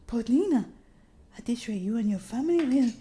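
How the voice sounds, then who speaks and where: A woman's voice: fairly high pitch, lively intonation, brisk, mellow, slight background noise, pitched above the performer's own voice, lightly acted. A woman voicing a frightened, pleading female caretaker in a visual novel, tearful and wailing. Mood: anxious warning.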